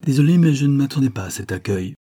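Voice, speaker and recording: A man voicing a young American woman singer from Texas, speaking softly with a put-on Texan twang in her English lines. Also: mid-range voice, animated, fast talker, pitched above the performer's own voice, natural voice. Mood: modest.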